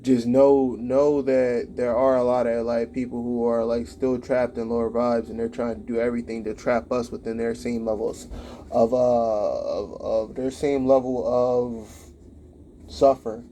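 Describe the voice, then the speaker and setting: A man, musing about spiritual growth in a loose, rambling way and leaning on "like" between thoughts. His voice is mellow and dark and sits at a middling pitch, with a flat, even delivery. A faint hiss runs underneath. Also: unhurried. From United States. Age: late 20s. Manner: rambling, heavy on "like" fillers. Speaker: vlogger giving spiritual advice. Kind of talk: casual spiritual monologue.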